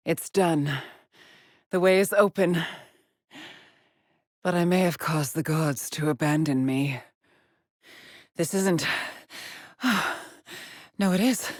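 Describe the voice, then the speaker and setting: An actress playing a haunted, determined space explorer stranded on an alien world, speaking a grave, introspective video game monologue with a husky, breathy voice. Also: female voice, sits low, darkish tone, dry recording, studio quality. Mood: urgent, awed, then uneasy, haunted. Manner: measured, introspective, grave, with sighs. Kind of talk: scripted video game monologue, solitary log narration.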